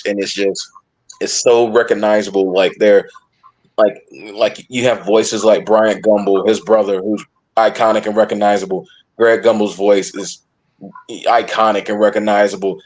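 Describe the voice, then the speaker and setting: Low-pitched, halting male sports panelist. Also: rough recording. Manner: halting, repeats and reworks phrases, emphatic. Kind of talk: casual sports discussion.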